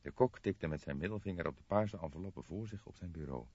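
A man reading a crime story as an audiobook narrator, in expressive scripted narration. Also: deep voice, fairly quick, breathy edge, dry recording. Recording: dull lo-fi audiobook recording.

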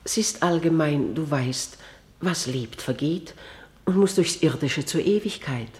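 Middle-aged queen, motherly, gentle, pleading, solemn verse delivery, classical radio drama. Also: deep voice, a little slow. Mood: gently pleading.

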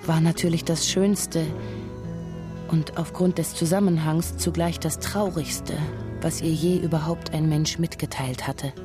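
Low-voiced woman narrating over music. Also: fairly even intonation, unhurried. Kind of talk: scripted literary radio drama narration.

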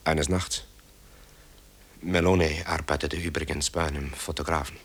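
Radio drama son: extremely low register, haunted, grave, halting confessions. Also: male voice, fairly even intonation, husky. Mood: tense, confiding. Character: tormented adult son, composer haunted by wartime guilt. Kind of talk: German radio drama acting.

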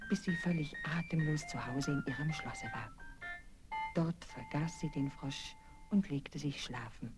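A woman narrating a scripted fairy tale for children in an expressive, deliberate storytelling manner. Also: deep voice. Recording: vinyl record transfer with music.

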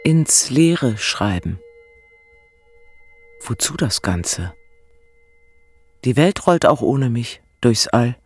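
An actress playing a brooding, searching writer who wrestles with words and doubt, speaking in halting, reflective phrases in a poetic radio play. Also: female voice, a bass voice, big pitch swings, a little mellow, slightly rough, full character voice. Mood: brooding, doubtful.

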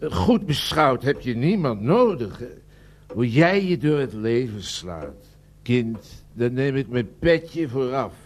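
A rambling old communist window cleaner. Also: male voice, medium pitch, very animated, slow pace, slight background noise. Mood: nostalgic. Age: older. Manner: rambling, hesitant with many ums, sentimental. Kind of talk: radio play acting.

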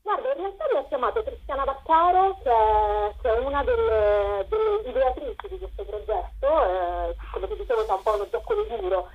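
A woman with a fairly high, husky voice gives an enthusiastic, wordy interview. She speaks off the cuff and often reaches for the next word. The sound is narrow and phone-like. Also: very animated, normal speed, few pauses. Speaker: interview guest, writer and performer presenting her collective's show. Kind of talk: spontaneous interview talk. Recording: thin band-limited radio or phone sound.

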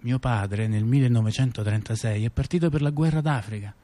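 A man's voice: medium pitch, mellow. A man with a mid-range voice tells a satirical story as if chatting across a table. He stumbles, repeats himself and wanders into asides, often checking that the listener follows. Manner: halting, digressive, repeats phrases and checks in with the listener. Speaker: storyteller-performer in a two-person stage dialogue.

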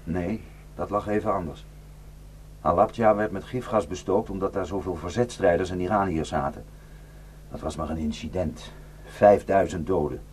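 A man acting as a desperate, guilt-ridden defector in a radio drama. His voice sits fairly low and moves between halting confession and bitter, intense warning. A faint hum and noise sit behind him. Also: darkish tone, natural voice. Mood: urgent, grim. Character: haunted, guilt-ridden Kurdish male defector, desperate to warn villagers. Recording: noisy radio play recording with hum.

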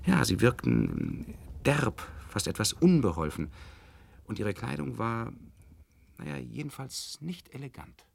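A man's voice: medium pitch, wildly swooping pitch, unhurried, vocal fry, exaggerated voice. A man in a German radio play, playing an educated, polite nerve doctor who speaks formally and a little hesitantly. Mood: measured recounting. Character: educated male nerve doctor, courteous, measured, mildly sceptical. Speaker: actor in a radio drama. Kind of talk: German radio play acting, recounting and dialogue.

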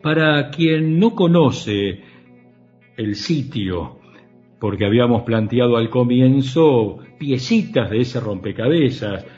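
A radio interviewer speaks very slowly in a dark, mellow voice, asking formal, measured questions. Faint music sits under his voice, and the sound is dull. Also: mid-range voice, expressive.

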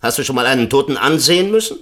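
An actor in a German radio drama playing a gruff, sentimental film director who speaks in a curt, insistent, commanding way. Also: male voice, medium pitch, animated, racing, fairly bright tone. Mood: agitated, angry.

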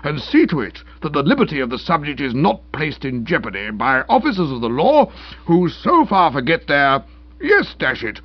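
A man's voice: on the high side, creaky, husky, no room sound, muffled recording, full character voice. A man voicing a pompous, blustering older British lord and magistrate who speaks in orotund, long-winded sentences.

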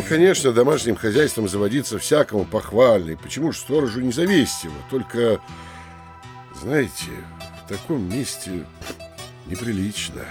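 An actor playing a pompous, middle-aged provincial mayor, deliberate and admonishing, in a theatrical audio drama. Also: male voice, lowish voice.

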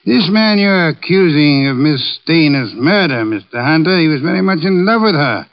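An actor playing a pompous, shrewd, unflappable private detective in a 1940s radio drama, speaking formal, precise English. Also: male voice, a little high, animated, normal speed. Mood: musing, wry. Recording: muffled old 1940s radio recording.

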